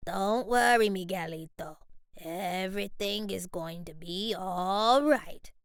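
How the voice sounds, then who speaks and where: Gentle old grandpa in a picture book, low and hushed, slow and soothing, read by a woman. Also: smooth, no echo, clean studio sound. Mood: reassuring. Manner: soft, slow and reassuring. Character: warm gentle elderly grandfather.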